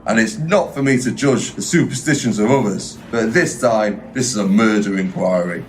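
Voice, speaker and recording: A man's voice: on the low side, normal speed, lightly acted. A blunt male detective, acted by a man for an audio play, officious and sardonic as he questions a witness. His delivery is formal and cynical, with some background noise behind it. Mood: cynical, scornful. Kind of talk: audio drama acting.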